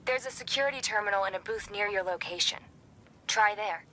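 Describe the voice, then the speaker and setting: An actress playing a capable young intelligence operative in a video game, composed and businesslike, with an American accent. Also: female voice, medium pitch, fairly quick, bright, forceful tone, slight background noise, lightly acted, low-quality recording. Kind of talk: video game cutscene acting.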